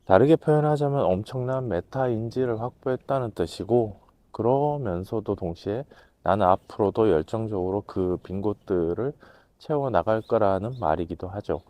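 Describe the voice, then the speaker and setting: Low, mellow man with a cold. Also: animated, normal speed, no room sound. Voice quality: hoarse from a cold. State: has a cold. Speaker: host, management consultant lecturer. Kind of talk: reflective philosophical talk to camera.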